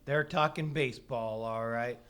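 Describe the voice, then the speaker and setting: Very low-voiced, folksy teenage boy. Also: measured pace, a bit bright, smooth. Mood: casual. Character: casual, literal-minded high school boy, son of the house. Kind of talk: 1930s radio comedy acting on stage.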